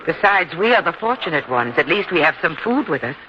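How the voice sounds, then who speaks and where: An actor playing a calm, devout mother who speaks in a measured, gently firm way in an old-time radio drama. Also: medium pitch, natural voice. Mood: patient. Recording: dull lo-fi radio sound, clear noise.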